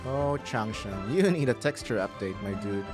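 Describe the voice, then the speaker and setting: A man's voice: lowish voice, expressive, normal speed. A man giving casual live commentary as he streams a video game playthrough. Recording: game music behind the commentary. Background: video game music.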